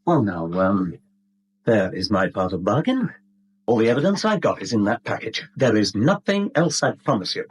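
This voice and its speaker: Smug male blackmailer, English accent, husky, very animated pitch, mockingly courteous, old radio play. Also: normal speed. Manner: suave, mockingly polite. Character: smooth-talking, smug male blackmailer posing as genial customer. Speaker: actor. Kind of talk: 1970s British radio drama acting.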